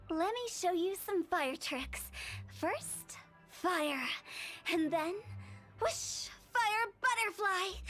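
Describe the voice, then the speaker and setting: Mischievous young female funeral director, game role. High, unhurried, teasing delivery with sing-song rhymes. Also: fairly bright tone, exaggerated voice. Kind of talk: game character voice lines.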